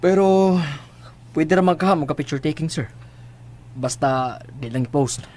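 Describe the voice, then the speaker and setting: Man playing an obliging assistant, slightly high voice, Visayan accent, eager to please, radio drama. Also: normal speed, darkish tone, natural voice. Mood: deferential, coaxing. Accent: Philippine, Visayan. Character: deferential, eager-to-please assistant addressing his sir.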